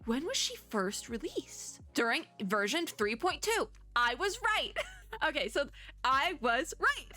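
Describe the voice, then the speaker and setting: Young female VTuber for casual reactions. Bright, very expressive intonation, informal and chatty, music behind. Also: sits high, unhurried. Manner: chatty, informal, thinking aloud. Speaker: VTuber reacting to game trailers. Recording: music in the background.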